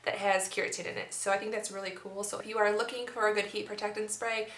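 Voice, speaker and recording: A young woman with a bright, forward voice talks through her monthly beauty favorites in a chatty, upbeat way, full of "like" and "um." Her voice rings a bit in an echoey room at home. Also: mid-range voice. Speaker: beauty vlogger. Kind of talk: casual monthly favorites review.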